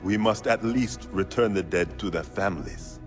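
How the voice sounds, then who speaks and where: A man's voice: on the low side, normal speed, over music, natural voice. An actor playing a principled, sombre male rebel in a video game. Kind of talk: dramatic video game acting.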